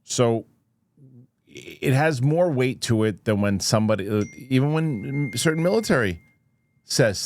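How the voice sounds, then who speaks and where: A man with a fairly low voice, recorded dry with little room sound. He gives casual, unscripted news commentary as a show host. His delivery is halting and skeptical, as he reasons out loud and puts questions to the viewer. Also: dry recording. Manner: halting, thinking aloud, rhetorical questions.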